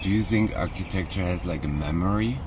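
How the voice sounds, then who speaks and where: A man with an extremely deep voice asks questions in a casual, tentative way, rewording as he goes. Loud outdoor noise with birds and passing vehicles surrounds him. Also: fairly quick. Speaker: interviewer.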